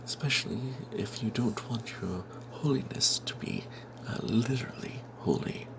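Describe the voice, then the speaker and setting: A man telling a fantasy tabletop tale as whispered ASMR, in a breathy, halting way. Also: medium pitch, low-quality recording.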